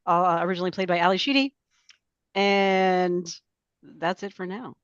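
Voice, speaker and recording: A woman's voice: on the low side, moderate pace, clean tone, dry recording. A woman voice actor from Los Angeles introducing herself casually on a video call with dull, lo-fi sound.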